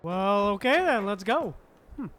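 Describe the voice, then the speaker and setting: A man's voice: high voice, animated, unhurried, fairly bright tone, a little hiss or hum. A man giving casual gameplay commentary as a streamer, muttering short, laid-back reactions. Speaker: streamer playing a game. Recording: game music behind the voice.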